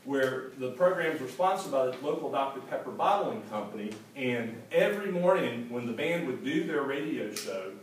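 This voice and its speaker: A man in his forties, an author reading from his own book to an audience in a school hall, telling it in a lively, humorous way with asides. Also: medium pitch, moderate pace, few pauses. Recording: echoey hall, distant mic, faint hiss.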